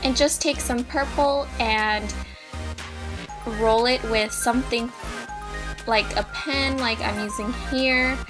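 A woman's voice: fairly high pitch, fairly even intonation, slow pace, long pauses. A young woman, bubbly and upbeat, walking viewers through a craft tutorial step by step. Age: young adult. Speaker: DIY vlogger. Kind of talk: casual tutorial voice-over. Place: indoors in front of a brick wall. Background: background music.

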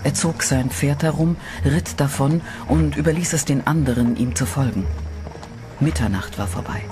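A man reading scripted horror narration in a measured, dramatic way. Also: mid-range voice. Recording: music bed under voice.

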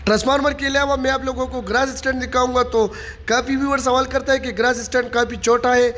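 A man walking through a stadium construction site, steadily narrating updates and pointing things out to viewers. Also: very high voice. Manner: steady informal commentary, repeats "you can see". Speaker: vlogger giving site updates. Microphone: handheld phone camera. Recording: long reverb, faint site noise.

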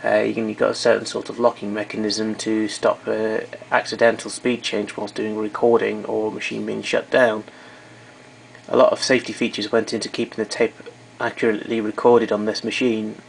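A man's voice: on the low side, monotone, moderate pace, vocal fry, a little hiss or hum, poor audio. A man giving an unscripted technical demonstration in a matter-of-fact, somewhat hesitant way. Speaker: demonstrator of vintage tape recorder.

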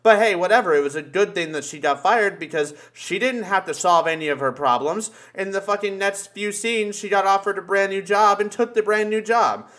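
Male podcast talker, fairly high-pitched, opinionated, profane, conversational. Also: fairly quick, few pauses, a bit bright. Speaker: podcast participant. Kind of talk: casual chat.

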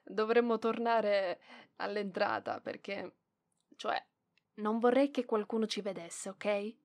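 A woman's voice: medium pitch, expressive, slow. A woman voicing a proud, easily flustered young librarian in an ASMR roleplay, bossy but fond, with hesitant stammers. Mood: friendly, covering up. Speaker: voice actress in audio roleplay.

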